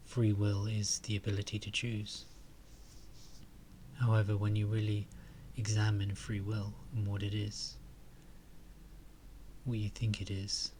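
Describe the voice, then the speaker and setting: Guy doing guided meditation, lowish flat voice, soft and calm, trails off breathy, bit of background noise. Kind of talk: guided meditation and spoken reflection.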